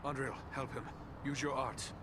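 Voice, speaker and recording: A man's voice: mid-range voice, steady tone, moderate pace, noisy background, natural voice. A man acting a grave, earnest heroic ranger in a fantasy video game, questioning and commanding his companions. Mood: grave, urgent. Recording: loud music over dialogue.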